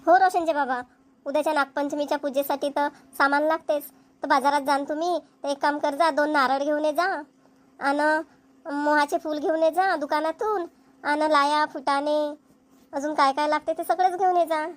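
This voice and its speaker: A woman's voice: sits high, fairly even intonation, moderate pace, natural voice. A woman voicing a patient village mother. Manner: rural Marathi dialect, patient, agreeable. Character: village mother, patient, homely, dutiful wife. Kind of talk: cartoon voice acting.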